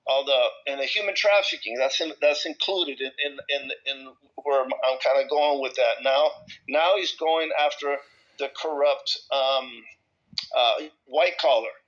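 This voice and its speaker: A man from El Salvador talking casually and off the cuff in a group discussion, in a halting, rambling way with repeated words and broken-off thoughts. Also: mid-range voice, fairly bright tone. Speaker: discussion participant. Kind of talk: casual, impromptu personal talk in a group discussion. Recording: slightly dull, lo-fi audio.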